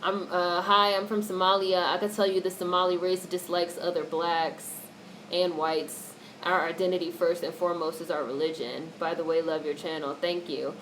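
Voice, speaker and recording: A woman's voice: lowish voice, flat delivery, normal speed, fairly bright tone. Blunt woman livestreamer, noisy audio. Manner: blunt, opinionated, swears freely. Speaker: livestream host reading and answering chat comments. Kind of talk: casual livestream commentary.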